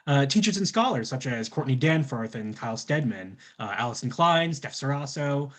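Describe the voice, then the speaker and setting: Lively-pitched man giving an academic talk. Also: normal speed. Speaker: PhD candidate, co-presenter. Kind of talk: semi-scripted academic presentation.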